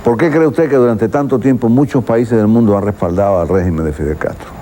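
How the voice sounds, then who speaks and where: A man's voice: lowish voice, fairly quick, dark tone, slight background noise. A man with a Cuban accent asking questions as a conversational documentary interviewer.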